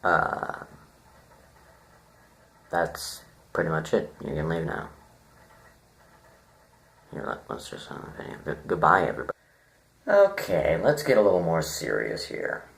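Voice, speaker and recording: A man giving an informal, rambling critique to viewers, deadpan and self-deprecating, halting as he thinks aloud. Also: rumbling low, creaky. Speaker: video reviewer.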